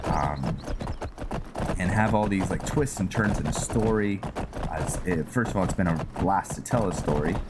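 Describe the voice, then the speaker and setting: A man's voice: low-pitched, normal speed. A man giving casual gameplay commentary, thinking aloud in short, hesitant phrases. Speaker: game streamer narrating a roleplay playthrough. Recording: loud game ambience and noise behind. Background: video game sounds, outdoor ambience.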